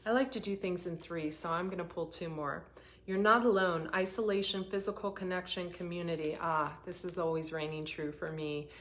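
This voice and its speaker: A woman hosting a live oracle card reading, talking in an earnest, rambling way, her voice getting hoarse. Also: lowish voice, steady tone, moderate pace, fairly bright tone. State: voice going hoarse. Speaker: intuitive reader hosting a livestream.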